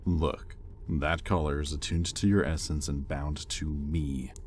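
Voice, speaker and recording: Guy doing a super low slow demon master, sardonic and possessive, audio roleplay, some noise behind. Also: fairly even intonation, a little mellow. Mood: exasperated, demanding. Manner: sardonic, exasperated, with pointed pauses. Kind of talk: intimate audio roleplay, dramatic monologue.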